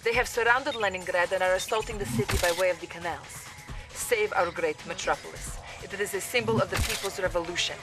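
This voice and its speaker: An actress playing a young Soviet information officer giving formal, urgent mission briefings. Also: female voice, lowish voice, brisk, music in the background, close to an everyday voice.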